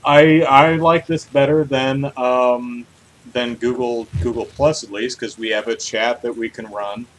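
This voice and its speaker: A man's voice: medium pitch, steady tone, unhurried, slight background noise, poor audio. Jokey man chatting on a gaming podcast. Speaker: podcast co-host. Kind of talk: casual video game news chat on a livestream. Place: hot room at home, on a livestream.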